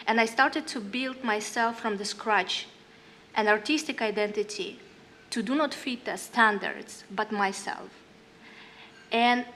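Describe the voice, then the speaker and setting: Woman speaking halting non-native English. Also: medium pitch, a bit bright, slight background noise. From northern Kazakhstan. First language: Russian. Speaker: panelist musician. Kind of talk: panel talk with read-aloud diary. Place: hall panel stage.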